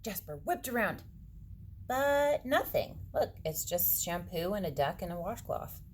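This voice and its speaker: A woman reading a picture book aloud to children, dramatically, with hushed suspenseful moments and asides to her young listeners. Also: lowish voice, very animated, measured pace, slight background noise. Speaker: read-aloud storyteller for children.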